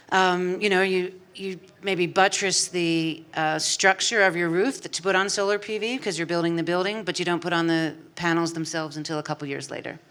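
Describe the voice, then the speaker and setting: Lower-voiced woman explaining carefully. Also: a bit bright, smooth. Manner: measured, explanatory with hesitations. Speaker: sustainability director on a panel. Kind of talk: panel discussion.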